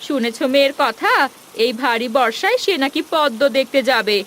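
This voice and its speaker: A woman's voice: high voice, animated, normal speed, a bit bright, slight background noise. A woman voicing a practical village mother in a cartoon, homely, fussing and mildly scolding. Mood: fretful. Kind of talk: cartoon fairy-tale voice acting.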